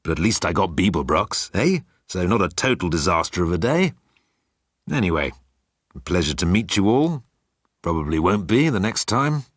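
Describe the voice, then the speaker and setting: Bored, disdainful immortal green alien, male narrator acting, low-pitched, close and dry. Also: sing-song, normal speed, dry recording. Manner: disdainful, world-weary.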